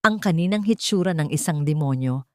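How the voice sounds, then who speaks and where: A woman's voice: low voice, animated, a little fast, no room sound, clean studio sound. A woman narrating a scripted dramatic story in a melodramatic, emphatic manner.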